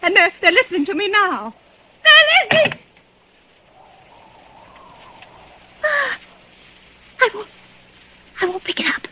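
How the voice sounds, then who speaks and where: A woman's voice: extremely high pitch, big pitch swings, moderate pace, sharp tone, no room sound, exaggerated voice. An actress playing a nervous, demanding invalid woman in a 1940s radio play, stammering and tearful. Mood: panicked. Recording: dull lo-fi 1940s radio broadcast.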